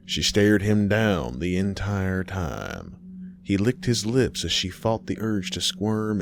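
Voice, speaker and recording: Deep guy reading a horror story, takes his time, dramatic pauses, bit of noise behind him. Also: creaky. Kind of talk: scripted narration of a horror story.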